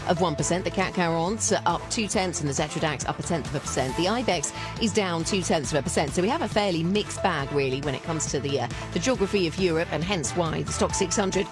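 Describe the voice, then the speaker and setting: A woman anchoring a radio business news bulletin, giving a brisk, conversational market rundown. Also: fairly low pitch. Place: radio studio. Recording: music bed behind the voice.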